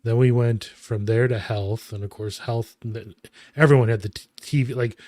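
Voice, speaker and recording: A man in his thirties co-hosting a casual chat, recalling memories in a halting way and often correcting himself. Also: medium pitch, steady tone, warm tone, no room sound, studio quality. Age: 30s. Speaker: podcast co-host.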